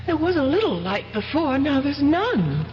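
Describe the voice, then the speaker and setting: A woman's voice: sits high, big pitch swings, normal speed. An actress playing a frightened, loving young woman in an old-time radio drama, with a pleading, emotional manner. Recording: echoing, dull, hissy vintage radio recording.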